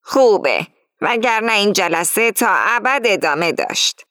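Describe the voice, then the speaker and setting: A woman's voice: medium pitch, animated, a bit bright, no room sound, studio quality, close to an everyday voice. A woman voicing a vain older woman with a tart, impatient way of speaking.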